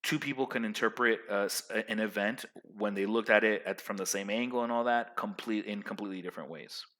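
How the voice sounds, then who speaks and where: Man, young adult, loud, emphatic, rambling, casual advice, bright tone. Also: lowish voice, brisk. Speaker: vlogger giving social advice.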